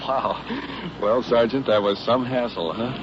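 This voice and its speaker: A man's voice: mid-range voice, a little slow. A man reporting live as he rides with police, describing the scene in clipped observational phrases, in an old field radio recording. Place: city streets and police car. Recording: very noisy muffled 1950s field recording.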